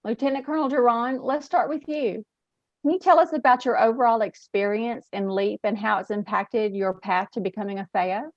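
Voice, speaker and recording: A woman's voice: a little high, steady tone, fairly quick, dry recording. A woman hosting an interview from a script in a polite, formal manner, heard through muffled audio. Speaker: interview host.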